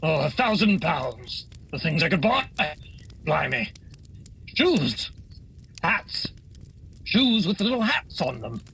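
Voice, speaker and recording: A man's voice: fairly high pitch, sing-song, takes it slowly, vocal fry, pauses often, a bit bright, a little hiss or hum, exaggerated voice. A man playing a bumbling, greedy working-class husband in a radio play, overwrought and comically pompous. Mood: dazed greed. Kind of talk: holiday radio play acting.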